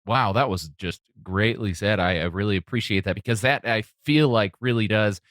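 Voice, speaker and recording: Low-voiced man hosting, dry close sound. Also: moderate pace, fairly bright tone, no room sound. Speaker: podcast host. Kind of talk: conversational interviewing.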